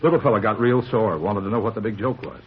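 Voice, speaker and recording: An actor playing a tough, self-justifying American bar owner shaken by a robbery, in clipped, streetwise 1950s radio police drama style. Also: male voice, fairly low pitch, animated, fast, breathy edge. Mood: animated recounting. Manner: clipped sentences, boastful, defensive, streetwise slang. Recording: muffled, hissy 1950s radio recording.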